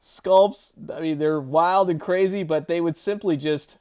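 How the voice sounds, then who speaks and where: A man giving a chatty, animated review of vintage action figures with wry asides. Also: on the high side, measured pace, clean tone. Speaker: toy collector reviewer. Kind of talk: casual toy review.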